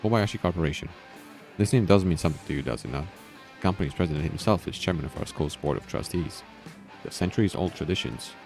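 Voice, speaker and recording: Man playing stuffy, low-voiced old principal. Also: fast, creaky, a little mellow. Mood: stern. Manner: formal, lecturing. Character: stern, stuffy old male school principal. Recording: background music.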